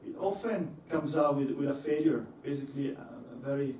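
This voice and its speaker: A man's voice: fairly high pitch, a little fast, mellow, slight background noise. A young man presenting to an audience in a lecture hall, with many fillers and restarts. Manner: hesitant, many fillers and restarts, laughs easily. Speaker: engineer giving a talk. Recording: echoey hall, voice from far off.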